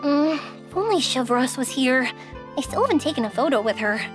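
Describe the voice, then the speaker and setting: A bubbly young woman from a video game, high and very lively in pitch. She sounds eager and girlish, with music prominent behind her. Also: moderate pace. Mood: wistful, eager.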